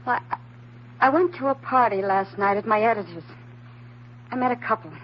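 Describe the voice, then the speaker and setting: A woman playing a frightened, naive and anxious woman in an old-time radio drama, speaking haltingly and earnestly. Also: on the high side, natural voice. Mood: shaken, hesitant. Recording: dull, slightly noisy old radio recording.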